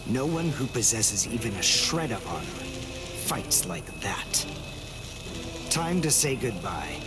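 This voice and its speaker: Casting: cool, condescending young game warrior, mid-range voice, expressive intonation, precise and formal. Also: male voice, mid-range voice. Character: cool, proud young male archer warrior, disdainful and precise. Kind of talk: anime game cutscene dubbing.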